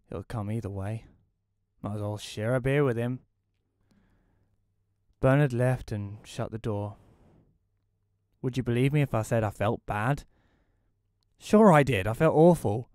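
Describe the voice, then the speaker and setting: A man playing a bitter, reclusive widower who narrates an audio play in a wry, deadpan way. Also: medium pitch, unhurried, pauses often, no room sound, studio quality, lightly acted. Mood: weary, bitter.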